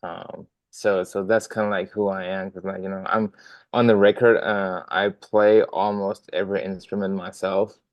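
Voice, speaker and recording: A man's voice: deep voice, level tone, normal speed, dry recording, muffled recording. A young Danish man, a drummer, chatting as a podcast guest with lots of ums and restarts. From Denmark. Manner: many fillers and restarts, friendly rambling. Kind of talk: casual interview talk.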